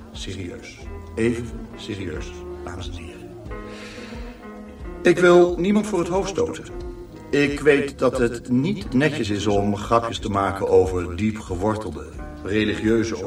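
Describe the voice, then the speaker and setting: A man's voice: lowish voice, expressive. Flamboyant, sing-song showman compère. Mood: mock serious. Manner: theatrical, gushing showman patter with drawn-out words. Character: flamboyant male compère of an end-of-universe restaurant show. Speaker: master of ceremonies. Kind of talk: radio comedy drama acting. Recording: roomy, distant stage sound with music behind.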